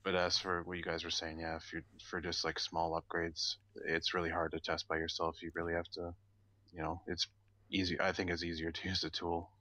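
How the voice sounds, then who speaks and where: A man's voice: low voice, monotone, a little fast, sharp tone, no room sound. A man on a podcast panel talking casually through technical details.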